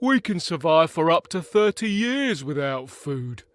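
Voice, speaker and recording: A man's voice: on the high side, sing-song, unhurried. A man voicing a tiny, untroubled tardigrade with a breezy, self-satisfied manner in an audio play. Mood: smug, untroubled.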